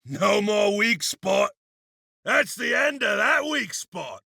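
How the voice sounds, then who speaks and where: An actor playing a seasoned, courteous frontier gunslinger in a video game, with a gravelly, raspy voice and a folksy drawling manner. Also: male voice, high voice, big pitch swings, slow, sharp tone, no echo, raised voice, studio quality, full character voice. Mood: grimly pleased. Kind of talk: video game character voice lines.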